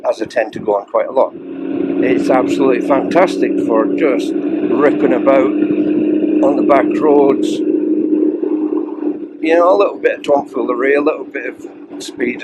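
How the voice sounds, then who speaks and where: A Scottish man chatting enthusiastically while riding, his voice croaky, rambling with fillers as he goes. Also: medium pitch. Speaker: motorcycle vlogger. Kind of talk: casual ride-along chat. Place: outdoors riding a three-wheeled motorcycle. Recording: loud engine and road noise.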